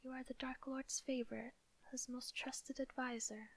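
A woman's voice: high-pitched, little pitch movement, normal speed. A woman reading in a whisper as a desperate, tearful mother pleading for her son.

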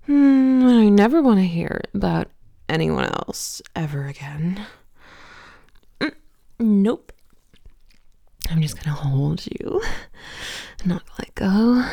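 Woman whispering close to the mic, teasing and possessive, super slow, dry sound. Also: mid-range voice, vocal fry, no echo. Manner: teasing, possessive, soft whispering with frequent laughs. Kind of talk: whispered intimate audio roleplay. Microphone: close microphone.

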